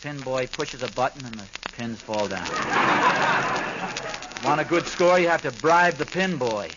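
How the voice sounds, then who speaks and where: A man's voice: medium pitch, expressive, slow pace. A man acting a defensive, stubborn middle-aged husband and father in an old-time radio situation comedy. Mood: defensive, huffy. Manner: grumbling, protesting, self-justifying. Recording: muffled, crackly old radio recording.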